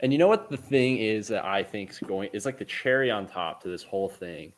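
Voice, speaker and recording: A man's voice: lowish voice, expressive, brisk. A man co-hosting a sports podcast, chatting casually in a laid-back, slangy, reactive way. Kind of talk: casual chat.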